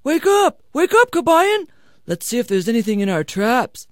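A woman voicing a stubborn, sly older father-in-law in an animated storybook telling. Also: mid-range voice, brisk, no room sound, clean studio sound, lightly acted. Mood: gloating.

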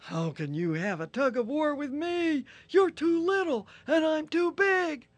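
Lady doing a big rhino, loud and friendly, lively up and down. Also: high voice, measured pace, no echo, voice pushed up. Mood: indignant.

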